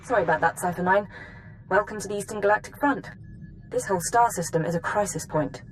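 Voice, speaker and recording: A woman playing a composed, efficient intelligence analyst in a video game, giving briefings in a crisp, businesslike manner. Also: medium pitch, quick pace, slight background noise, lightly acted, poor audio.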